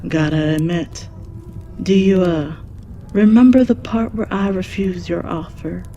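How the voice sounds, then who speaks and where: A woman's voice: fairly low pitch, measured pace, dark tone, muffled recording, slight background noise. A woman playing a sly, teasing devil in an audio roleplay, drawling with amused taunts as they bargain for a soul. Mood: smug, teasing.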